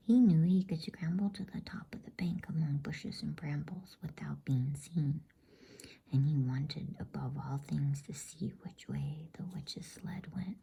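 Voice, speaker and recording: A woman reading a bedtime story as ASMR in a soft, unhurried, breathy, intimate whisper. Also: low-pitched.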